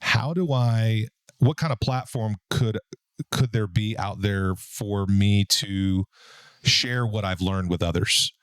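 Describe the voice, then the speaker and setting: A man in his forties with a deep baritone voice, hosting a casual podcast and telling stories in a relaxed way. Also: slow pace, smooth, dry recording, clean studio sound. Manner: relaxed, storytelling, frequent fillers. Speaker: podcast host and business owner. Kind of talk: casual conversational podcast talk.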